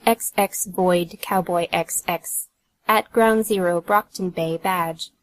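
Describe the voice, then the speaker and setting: A woman's voice: on the low side, steady tone, slow, no echo. A woman narrating an audiobook from a script in a neutral, announcing manner.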